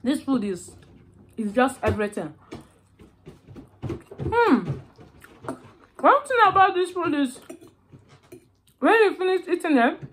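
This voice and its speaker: A young Nigerian woman whose first language is Igbo, filming a mukbang eating vlog and humming slowly with pleasure between bites. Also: high voice, a little hiss or hum. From southeastern Nigeria. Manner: slow, savoring, with drawn-out hums between bites. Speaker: food vlogger.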